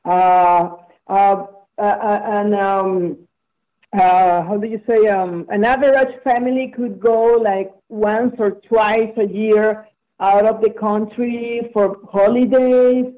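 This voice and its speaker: A woman from Venezuela with a Venezuelan Spanish accent, a native Spanish speaker answering interview questions in a halting way, with many fillers and restarts. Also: medium pitch, fairly even intonation, highs cut off. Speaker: guest.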